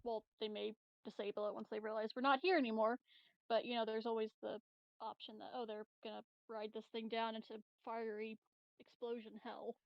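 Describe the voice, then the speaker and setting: A woman's voice: on the high side, little pitch movement, brisk, creaky, dry recording, lo-fi sound. A woman chatting casually as a player in a tabletop game.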